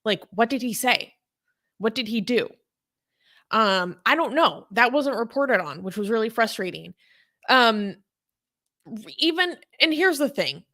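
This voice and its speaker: A woman reads news stories aloud and then talks them through in a casual, chatty way, with plenty of fillers. Her voice is bright, and it climbs noticeably when she gets worked up about an injustice. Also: unhurried, pauses often. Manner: animated, rises in pitch when outraged. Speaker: co-host reading news.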